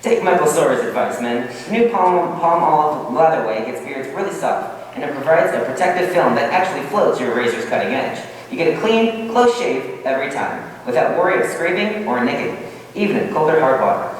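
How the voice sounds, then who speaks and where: A man's voice: on the high side, lively intonation, fairly quick. A man delivering a scripted old-time radio commercial as an announcer. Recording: echoey hall from far off, faint hiss.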